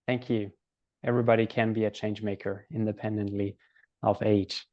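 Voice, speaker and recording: Guy hosting an interview, pretty monotone, ums a lot, dry room. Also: lowish voice, normal speed, no echo, highs cut off. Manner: flat and hesitant, with ums. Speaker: interview host. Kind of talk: interview questions.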